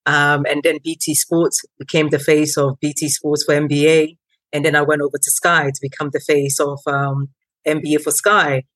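A woman in her thirties, originally from Ghana and not a native English speaker, a guest telling her story in a lively way with many ums. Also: low-pitched, moderate pace, no room sound, rough recording. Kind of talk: conversational interview.

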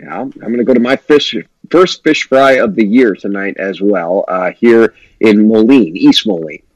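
A man's voice: on the low side, normal speed, no echo, low-quality recording. A man hosting a morning talk radio show, running through the lineup in an upbeat announcer way. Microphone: studio microphone.

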